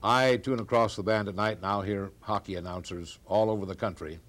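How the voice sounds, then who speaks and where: An older American man, a veteran radio announcer, reminiscing haltingly and clearing his throat as he searches his memory. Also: on the low side, fairly even intonation, a bit bright. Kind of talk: reminiscing in a group conversation.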